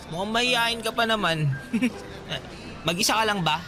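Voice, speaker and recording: A man's voice: sits high, a little slow. Teasing, easygoing teen carnival boy for radio drama, music underneath. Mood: cheeky, friendly. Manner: casual, teasing. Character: friendly, cheeky teenage fairground boy. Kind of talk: Tagalog radio drama acting. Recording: background music, outdoor traffic sounds.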